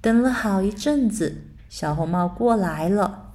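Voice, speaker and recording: A woman narrating a bedtime story for children in a soft, soothing way. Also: mid-range voice, animated, a little slow. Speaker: storyteller mother.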